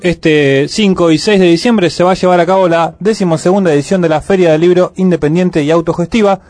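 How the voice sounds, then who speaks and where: A man's voice: high-pitched, a little fast, a little mellow, soft background music. A man introducing and interviewing a phone guest on the radio. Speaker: radio presenter.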